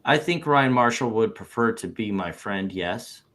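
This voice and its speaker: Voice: mid-range voice, lively intonation, unhurried, fairly bright tone. A reader acts out a male businessman testifying in a deposition. He is evasive and noncommittal, hedging his answers as the transcript is read aloud. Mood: evasive, stubborn.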